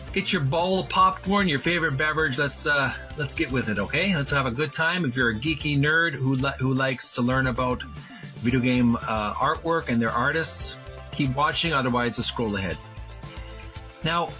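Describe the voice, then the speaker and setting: A man hosting a video with a bright, forceful voice that rises and falls a lot. He speaks in deliberate, emphatic chunks, breaking up his phrases as he presents and reads aloud. Music plays faintly behind him. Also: fairly high pitch. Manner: deliberate, emphatic, broken phrasing when reading. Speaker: host of a video series on retro game art. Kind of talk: casual presenting and slow, halting read-aloud from a book.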